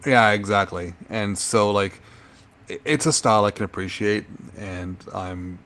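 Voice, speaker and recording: A middle-aged man with a very low, deep voice, chatting on a music podcast. He weighs his words carefully and fills his pauses with ums. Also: fairly even intonation, fairly bright tone. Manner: thoughtful, measured, hesitant with frequent ums. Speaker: podcast co-host. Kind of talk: casual music podcast chat.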